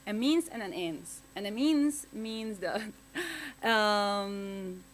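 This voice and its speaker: Woman researcher, English, halting speech, frequent restarts, panel talk, faint hum. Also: mid-range voice. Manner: halting, searching for words, many restarts and fillers. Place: conference panel.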